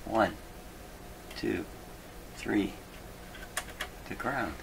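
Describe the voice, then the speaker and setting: Man, slightly deep voice, very slow halting delivery, puzzled muttering, self-deprecating, unscripted hobby repair video, noisy recording. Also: vocal fry. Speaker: host of a radio repair video. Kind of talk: unscripted workbench troubleshooting, thinking aloud.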